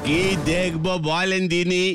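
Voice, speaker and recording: A man's voice: high-pitched, little pitch movement, a little slow, loud music behind the voice. A man voicing a stubborn, mocking small-town shopkeeper in a comedy cartoon, drawling.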